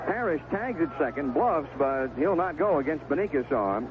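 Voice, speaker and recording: High-pitched man calling baseball on tinny old radio. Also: very animated, normal speed. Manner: clipped phrases, descriptive call of each pitch. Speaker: radio baseball play-by-play announcer. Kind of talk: live sports commentary, 1970s radio. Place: outdoor ballpark broadcast booth. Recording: noisy, tinny narrowband vintage radio broadcast.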